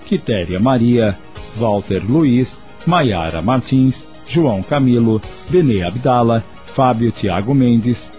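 A man's voice: mid-range voice, dark tone. A man with a Brazilian accent reading scripted radio credits as a formal announcer, enunciating each item.

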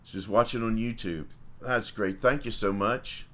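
Folksy man, low voice. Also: fairly even intonation, a little slow, fairly bright tone. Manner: folksy, chatty, greets viewers by name. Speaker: priest. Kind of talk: livestreamed morning prayer and viewer chat.

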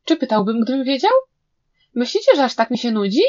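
A woman's voice: a little high, lively intonation, fairly quick, a little mellow, dull audio, pitched above the performer's own voice, natural voice. A woman voicing a playful, teasing, brash male cultivator in an audiobook story, with an exclamatory, mock-indignant delivery.

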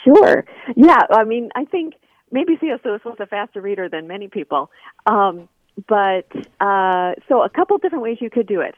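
A woman's voice: medium pitch, big pitch swings, moderate pace, no room sound. A woman interview guest, a scholar, explaining things conversationally with examples over a telephone line. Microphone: phone.

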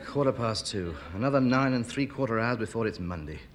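A man's voice: medium pitch, lively intonation, normal speed, close to an everyday voice. An actor playing a bored, grumbling man stuck at home on a dull afternoon, in a 1950s radio sitcom. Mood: weary. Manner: moaning, weary complaining. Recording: hissy old radio broadcast recording.

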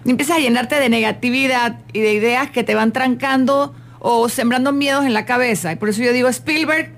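A woman hosts a radio talk show in a chatty, expressive manner, full of quick asides. Her voice sits a little high, and she speaks into a studio microphone. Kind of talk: casual motivational radio talk.

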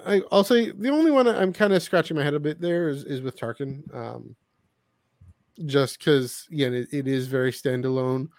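A man's voice: mid-range voice, creaky, a little mellow, no room sound, clean studio sound. A man chatting casually as a podcast co-host, thinking aloud and hedging as he goes. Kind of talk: casual podcast chat about books.

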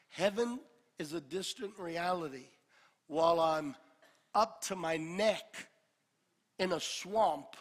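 Pastor guy preaching loud, high bright voice, big echoey church hall. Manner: builds to shouted climaxes, then drops to a jokey aside. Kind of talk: sermon. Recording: long hall reverb.

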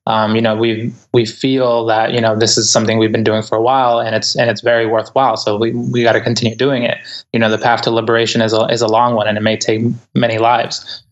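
A man from Ecuador whose first language is Spanish, speaking as a guest author in a thoughtful, earnest interview with many soft fillers. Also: mid-range voice, little pitch movement, fast, lo-fi sound. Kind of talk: reflective interview conversation. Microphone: remote interview over the internet.

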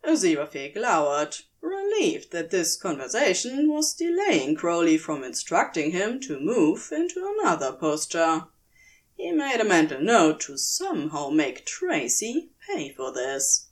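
A woman's voice: fairly low pitch, wildly swooping pitch, unhurried. A woman narrator reading scripted fiction, telling the story between lines of dialogue.

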